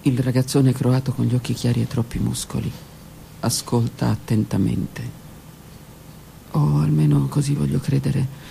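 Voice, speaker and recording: A woman's voice: rumbling low, fairly even intonation, normal speed, vocal fry, mellow, a little hiss or hum. A woman narrating her own literary text for a radio theatre piece, intimate and often dropping to a whisper. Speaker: author and narrator.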